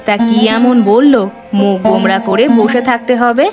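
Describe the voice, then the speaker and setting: A woman voicing a doting, sharp-tongued village mother in a Bengali cartoon, with an expressive, folksy, teasing manner. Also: a little high, normal speed, close to an everyday voice. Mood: fond nagging. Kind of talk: Bengali animated moral-tale voice acting. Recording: music bed behind the voice.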